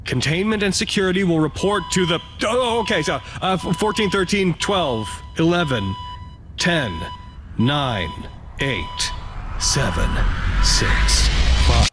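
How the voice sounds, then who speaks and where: An actor playing a wry, excitable staff announcer at a secret research facility in an audio drama, his formality prone to fluster. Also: on the high side, slow pace, over music.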